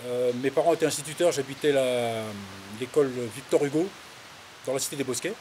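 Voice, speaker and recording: Man, 50s, from the Paris suburbs. Medium pitch, colloquial and halting storyteller in an interview, faint-to-clear hiss on the recording. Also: darkish tone. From Paris suburbs, France. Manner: hesitant, rambling, colloquial. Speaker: interviewee, farmer. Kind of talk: casual autobiographical interview, recounting anecdotes.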